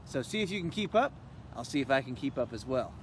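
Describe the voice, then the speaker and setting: A man's voice: mid-range voice, very animated, fast, slight background noise. A man playfully talking straight to children as an entertainer introducing a sing-along, recorded outdoors. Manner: playful, addressing children directly. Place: outdoors in the woods.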